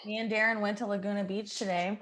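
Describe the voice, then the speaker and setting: A woman's voice: mid-range voice, little pitch movement, fast talker, a bit bright. American woman chatting casually, swearing freely. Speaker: podcast cast member. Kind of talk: casual chat. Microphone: video call.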